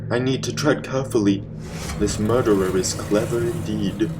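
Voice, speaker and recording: A man's voice: on the low side, moderate pace, very noisy, voice pushed down, natural voice. A man playing a fussy, self-important villainous master of a mansion in a theatrical, exclamatory old-time radio mystery comedy. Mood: dramatic alarm.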